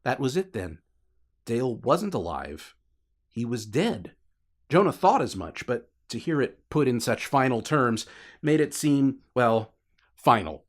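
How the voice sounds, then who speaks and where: A man's voice: mid-range voice, very animated, unhurried. A man narrating fiction as a scripted, dramatic audiobook reading.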